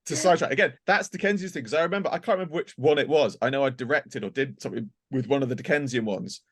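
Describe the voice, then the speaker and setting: A man's voice: mid-range voice, racing, fairly bright tone. A man in a casual group chat who rambles in a self-deprecating way. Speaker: audio drama performer and director.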